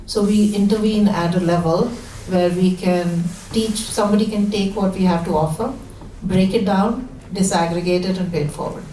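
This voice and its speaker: A woman from India, a fund founder, giving an informal spoken pitch at a panel event. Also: steady tone, moderate pace, warm tone. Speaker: fund founder and managing partner. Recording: echoey room, distant mic, background noise.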